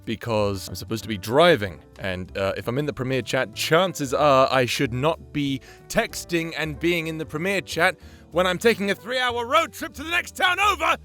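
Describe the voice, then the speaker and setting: A man's voice: on the high side, animated, moderate pace, sharp tone, faint music behind. A man hosting a channel with casual, sweary, rambling commentary in an informal, chatty way. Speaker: creator and host of a fan-facing channel.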